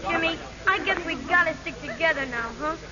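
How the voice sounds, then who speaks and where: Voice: very high-pitched, a little fast. An actor playing a stubborn, grieving orphaned East Side boy who talks in street slang, in a 1940s radio drama. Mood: grieving, brave. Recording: muffled vintage radio recording, crowd behind.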